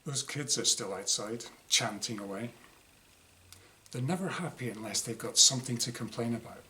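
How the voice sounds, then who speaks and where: A man's voice: mid-range voice, moderate pace, bright, forceful tone. A man reading his own first-person diary short story aloud in a wry, exasperated, dramatised way. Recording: clear background noise.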